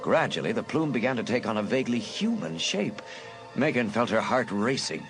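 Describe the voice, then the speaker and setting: A man's voice: medium pitch, sing-song, normal speed, music in the background. A man narrating a suspense story as a dramatic, scripted audiobook.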